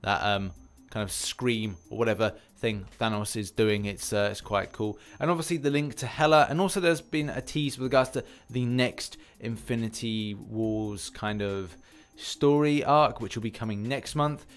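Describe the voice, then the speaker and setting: A man's voice: on the low side, normal speed, few pauses. A man hosting a gaming news video, talking in a chatty, rambling, conversational way with casual commentary and asides.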